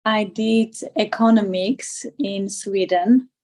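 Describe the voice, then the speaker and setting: A woman, answering conversationally as an interview guest, haltingly, often repeating and rephrasing her words. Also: mid-range voice, a little mellow. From Armenia. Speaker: interview guest, political candidate.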